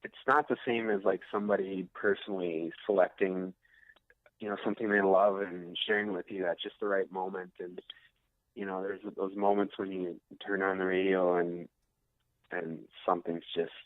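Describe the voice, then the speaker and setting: Low-voiced American man, reflective and halting. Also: flat delivery, no echo, phone-quality sound. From Minnesota, United States. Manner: reflective, halting, searching for words. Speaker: musician, interview guest. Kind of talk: casual interview chat.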